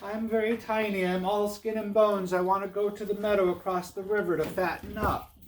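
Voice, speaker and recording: A man's voice: sits high, little pitch movement, normal speed, pitched above the performer's own voice, lightly acted, rough recording. A man voicing a tiny, skinny, timid young billy goat for a storybook, pleading and reasoning in a very small voice. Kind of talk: storybook read-aloud. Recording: clear background noise.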